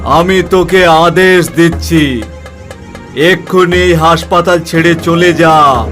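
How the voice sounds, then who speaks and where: A man voicing a stern exorcist holy man in a cartoon, solemn, deliberate and commanding. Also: on the high side, steady tone, a bit bright. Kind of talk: cartoon voice acting. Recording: music behind the voice.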